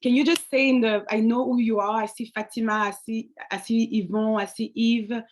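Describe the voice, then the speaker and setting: A woman's voice: fairly high pitch, little pitch movement, moderate pace, slight background noise. A young woman whose first language is French, speaking English on an online alumni panel, giving direct career advice with some slips and restarts.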